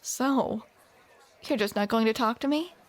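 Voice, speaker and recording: A woman's voice: fairly high pitch, unhurried. A woman performing a scripted romantic audio roleplay, with playful, teasing banter, close to the microphone.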